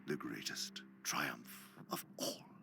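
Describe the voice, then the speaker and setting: An actor playing a cunning, pompous senior demon in an audio drama, lecturing eloquently and with disdain. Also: male voice, slow, close to an everyday voice. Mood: gloating lecture. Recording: music underneath.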